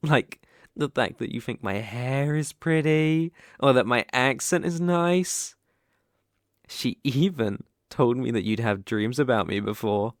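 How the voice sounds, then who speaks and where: A man's voice: mid-range voice, animated, no room sound, studio quality. A British man acting a dominant, teasing, possessive young bully in love in an intimate ASMR roleplay. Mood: teasing, amused. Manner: slow, teasing, commanding.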